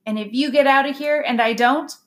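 A woman's voice: sits high, brisk, a bit bright, pitched above the performer's own voice. A woman voicing an earnest male dog, the protective brother, pleading in an urgent way.

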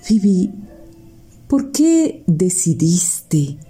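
A Costa Rican woman hosts the interview with a low, dark voice. She talks slowly and formally and draws out her phrases. Her voice is a little husky, with faint music underneath. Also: very animated. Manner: warm formal praise, slow deliberate phrasing. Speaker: interview host. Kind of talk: slow formal radio-style interview.

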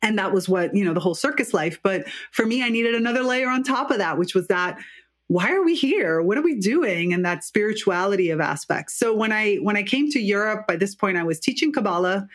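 A middle-aged American woman, a guest in an interview, telling her life story in an animated, chatty way with frequent fillers. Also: mid-range voice, a little fast. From United States.

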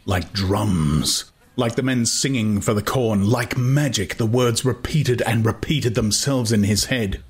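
A man narrating a literary audiobook in a dramatic, intense way, with deliberate phrasing. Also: fairly low pitch, a little hiss or hum.

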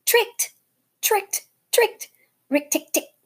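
A woman voicing a brave young male mongoose, bright and excited in his speech. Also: mid-range voice, unhurried, slightly rough. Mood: triumphant.

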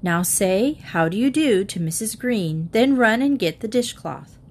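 A woman voicing a weary but patient mother who speaks gently to her daughter. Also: medium pitch, lively intonation, unhurried, slight background noise.

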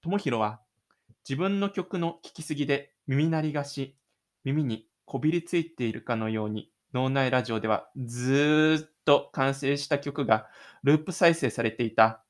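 A man's voice: mid-range voice, normal speed. A man narrating a scripted audio drama in a storytelling style.

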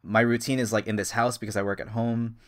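A man in his thirties with a somewhat low voice, chatting on a casual podcast. He rambles eagerly, restarting his sentences and filling pauses with "um" and "like.". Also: little pitch movement. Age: 30s. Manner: rambling, enthusiastic, many fillers and restarts. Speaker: podcast co-host.

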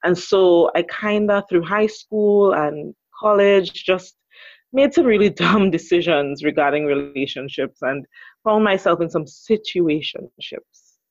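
A woman's voice: on the low side, animated, measured pace, no room sound, muffled recording. A woman in her thirties from Trinidad and Tobago with a Trinidadian accent, speaking as a guest relationship educator in a thoughtful interview conversation.

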